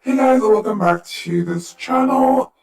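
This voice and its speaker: A woman's voice turned into a deep demon or robot by a pitch-lowering voice changer filter. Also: a little slow, gravelly, a little mellow. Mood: mechanical, processed. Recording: distant, echoey processed playback.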